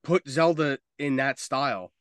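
A man co-hosting a casual talk show, with a medium-pitched voice and a very dry, close sound. He talks informally, wandering through his thoughts and cursing freely. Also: a little slow, fairly bright tone, clear, resonant tone, no room sound. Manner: informal, swearing, rambling. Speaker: podcast co-host. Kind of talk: casual chat about video game movies.

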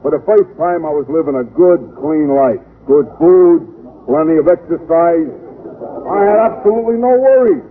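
An actor playing a simple, polite and grateful American ex-convict in an old-time radio comedy, speaking sincerely and plainly. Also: male voice, fairly high pitch, normal speed, natural voice. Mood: earnest, grateful. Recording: tinny, noisy vintage radio recording.

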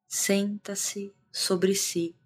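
A Brazilian woman guiding a meditation in a calm, hushed manner with long pauses. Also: medium pitch, slightly rough. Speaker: meditation guide, integrative therapist. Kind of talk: guided meditation. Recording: music behind the voice. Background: meditation music.

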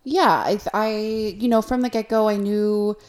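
A woman's voice: mid-range voice, fairly even intonation, normal speed, a little mellow, smooth. A young adult woman co-hosting a podcast in casual, conversational chat. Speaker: podcast co-host.

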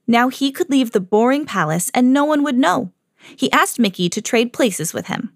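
Higher-voiced mom reading a storybook aloud. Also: female voice, moderate pace, no echo, clean studio sound. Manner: lively read-aloud, jumps high for quoted shouts. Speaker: mom reading to her kids, podcast host.

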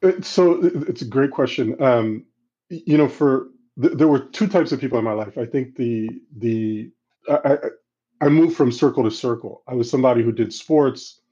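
A middle-aged man who grew up in Central Africa, answering interview questions in a halting, reflective way with many restarts. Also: mid-range voice, mellow, husky. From Congo, Central Africa. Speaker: guest. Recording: slightly dull, lo-fi sound.

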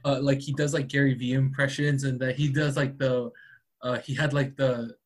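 A man's voice: mid-range voice, flat delivery, moderate pace, a little hiss or hum. A man chatting casually as a podcast guest, laid-back and full of "like". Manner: laid-back, heavy use of "like". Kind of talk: casual chat.

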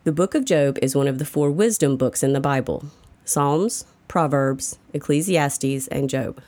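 A woman's voice: low-pitched, a little slow, a little mellow. A woman reads a scripted daily devotional as its narrator. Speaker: devotional reader.

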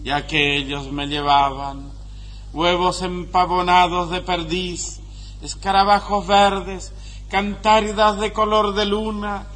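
A man reciting poetic prose in a solemn, measured monotone. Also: high-pitched. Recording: faint noise and hum.

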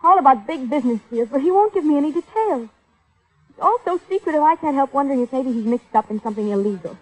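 An actress playing a troubled but firm young fiancée, earnest and direct, in a mid-century radio drama. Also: female voice, sits high, expressive, quick pace, darkish tone, poor audio. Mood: worried, earnest.

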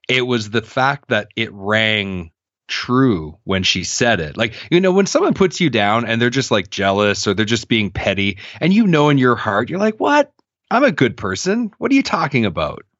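A man's voice: medium pitch, dry recording. A man in his thirties telling his life story candidly as a podcast guest, earnest and reflective. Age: 30s. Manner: earnest, reflective, animated when quoting others.

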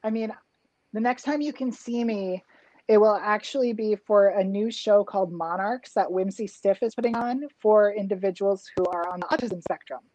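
A woman's voice: mid-range voice, moderate pace, a little mellow, no echo, low-quality recording. A woman in her thirties, a drag king performer, talking reflectively in a casual interview with lots of fillers and restarts, heard over a video call. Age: 30s.

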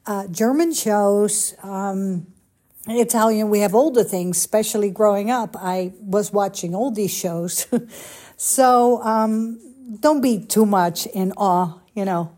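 Middle-aged Dutch-accented woman, podcast host, soft mellow tone, friendly pep-talk delivery. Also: medium pitch. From Netherlands. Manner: chatty, encouraging, direct address to listeners. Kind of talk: casual solo podcast monologue.

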